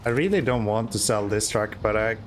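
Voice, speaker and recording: A man's voice: fairly low pitch, brisk, a little hiss or hum. A Swedish-accented man whose first language is Swedish, chatting casually and sarcastically while streaming a driving game. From Sweden. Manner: joking, self-mocking, sarcastic. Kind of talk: casual chat while playing.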